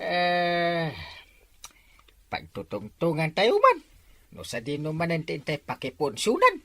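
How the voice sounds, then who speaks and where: A woman's voice: on the low side, huge pitch swings, slow pace, fairly bright tone, no room sound, exaggerated voice. A woman playing a bossy barangay captain. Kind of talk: Ilocano comedy skit acting.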